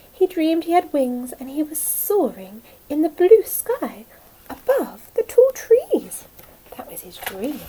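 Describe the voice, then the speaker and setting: A woman speaking as a nursery teacher, telling a story to young children in a simple, expressive way. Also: high voice, a little hiss or hum, poor audio.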